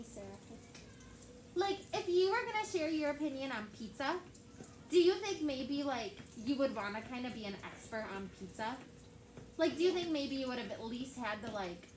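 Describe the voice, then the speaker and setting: A young woman teaching a class of children, reading a story aloud and asking the kids lots of questions in a chatty way. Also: fairly high pitch. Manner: chatty, asks the kids many questions, heavy use of "like". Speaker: elementary school teacher. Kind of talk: classroom read-aloud lesson. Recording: echoey classroom with background noise.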